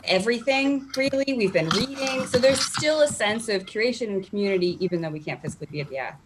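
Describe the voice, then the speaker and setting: A woman's voice: on the high side, expressive, fast talker, background noise. A woman chatting casually as an interview guest on a video call, in a talkative, informal way. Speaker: library staff member, interview guest. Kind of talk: casual chat. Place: home, on a video call with a virtual background.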